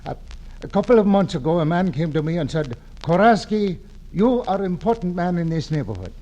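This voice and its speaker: An actor playing an earnest middle-aged immigrant janitor who speaks broken English, in 1940s radio acting style. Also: male voice, sits high, big pitch swings, brisk, dark tone, highs cut off. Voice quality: broken English, humble and eager.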